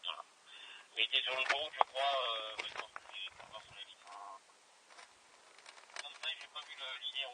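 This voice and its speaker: Male amateur radio operator, medium pitch, hesitant casual rambling, very noisy transmission. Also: moderate pace, long pauses. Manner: hesitant, rambling, thinking aloud.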